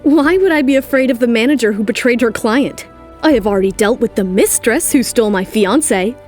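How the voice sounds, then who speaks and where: A woman's voice: a little high, brisk, darkish tone, soft background music, close to an everyday voice. A woman playing a confident young model in an audio drama, firm, self-possessed and defiant. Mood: coolly confident.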